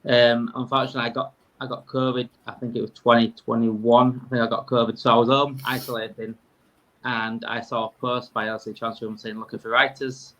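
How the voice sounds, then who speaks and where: A man's voice: medium pitch, flat delivery, fairly quick, fairly bright tone, muffled recording. A man in his thirties with a Yorkshire accent, talking casually as a guest in a conversation. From Yorkshire, England. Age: 30s. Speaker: podcast guest, fan podcaster.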